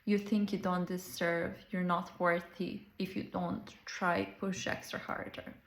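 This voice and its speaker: Slow-speaking woman with a Serbian accent. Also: lowish voice, flat delivery, gravelly, reverberant. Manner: slow, earnest, drawn-out phrasing with simple non-native grammar. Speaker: life coach. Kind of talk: reflective monologue to camera.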